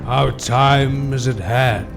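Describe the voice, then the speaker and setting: An actor playing a commanding, menacing mutant supervillain giving a grandiose, deliberate speech in an audio drama. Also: male voice, medium pitch, steady tone, creaky, over music. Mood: rallying. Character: commanding mutant supervillain declaring his supremacy to the world. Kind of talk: audio drama villain monologue.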